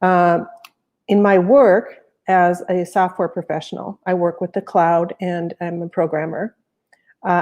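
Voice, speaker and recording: An American woman speaking as a panel guest, explaining her view in a measured way with occasional fillers. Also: lowish voice, warm tone. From United States. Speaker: panel guest, software professional. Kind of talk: panel discussion remarks.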